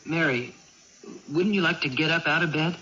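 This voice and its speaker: An actor playing a devoted young brother in a 1980s radio drama, coaxing tenderly and explaining things simply and patiently. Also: male voice, a little high, normal speed, bright, forceful tone. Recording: muffled old radio broadcast, faint noise.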